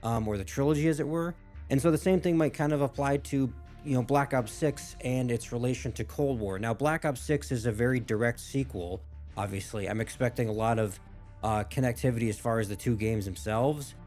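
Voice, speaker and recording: Mid-pitched man giving casual reaction commentary. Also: a little fast, a little mellow, faint music behind. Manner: tentative, thinking aloud. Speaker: gaming reaction video host.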